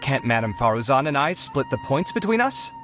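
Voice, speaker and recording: A man's voice: medium pitch, very animated, fairly quick, music in the background, lightly acted. A man voicing a dramatic, earnest young idealist in a video game, theatrical and given to pleading. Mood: wheedling. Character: dramatic young male idealist, wheedling yet principled.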